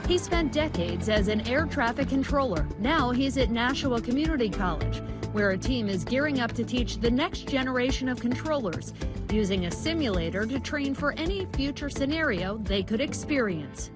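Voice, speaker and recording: A woman's voice: a little high, lively intonation, normal speed. A woman narrating a scripted investigative news report in the role of a reporter. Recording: music bed behind the voice.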